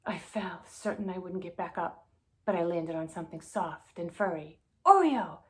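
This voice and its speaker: A woman reading a children's spooky story aloud in an expressive storybook style. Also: fairly low pitch, moderate pace. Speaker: guest story reader.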